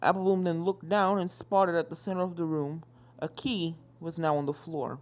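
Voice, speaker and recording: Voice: medium pitch, fairly even intonation, normal speed. A narrator reading an adventure story in scripted narration, with music playing behind. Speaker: fan fiction narrator.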